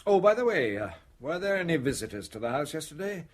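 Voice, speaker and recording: A police inspector in a radio play, his pitch moving freely as he probes. He is brisk and quietly suspicious, pausing with little ums before he presses on. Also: very animated. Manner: questioning, hesitant pauses with ums. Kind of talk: British radio drama dialogue.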